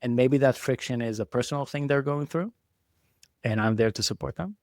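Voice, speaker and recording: A man's voice: mid-range voice, a little fast, no echo, clean studio sound. A man, giving reflective, conversational answers as an interview guest. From Israel. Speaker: podcast guest, entrepreneur.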